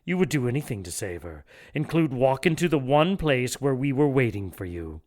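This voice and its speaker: An actor playing a gloating, condescending villain bishop in an audio drama, with a pompous, taunting delivery. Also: male voice, mid-range voice, sing-song, normal speed, darkish tone, natural voice. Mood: taunting, smug. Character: gloating male villain bishop plotting apocalyptic sacrifice.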